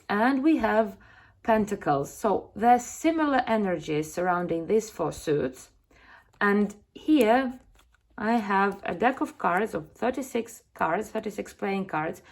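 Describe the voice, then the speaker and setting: A woman in her thirties from Russia, earnestly explaining a deck of cards to camera, with frequent fillers and restarts. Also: medium pitch. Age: 30s. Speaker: card reader presenting her deck. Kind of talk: casual spoken explanation to camera.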